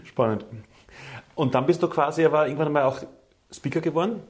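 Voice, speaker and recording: A man's voice: a little high, fairly quick. A man asking questions as an interviewer in a casual, conversational style.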